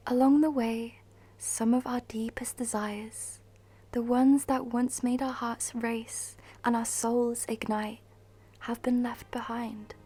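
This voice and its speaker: A woman guiding a meditation in a soft, breathy, half-whispered voice, soothing and measured, with long pauses. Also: on the high side. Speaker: meditation guide. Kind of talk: guided meditation, scripted narration. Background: music.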